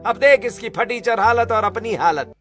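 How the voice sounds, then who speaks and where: A man's voice: sits high, normal speed, a bit bright, soft background music, pitched above the performer's own voice. A man playing a boastful young landlord's son from a village in a cartoon, taunting and bragging.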